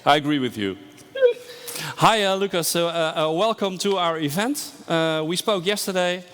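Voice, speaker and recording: A man hosting a live online event and interviewing a guest, talking haltingly with many "uh"s. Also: a little high, lively intonation, a bit bright, long reverb tail, slight background noise. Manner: halting, repeated "uh"s.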